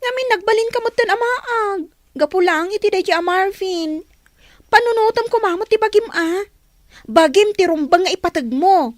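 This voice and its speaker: A woman's voice: extremely high pitch, lively intonation, normal speed, no echo, studio quality. A woman with an Ilocano accent playing an exasperated younger sister in a radio drama, scolding and urging emphatically. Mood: exasperated advising.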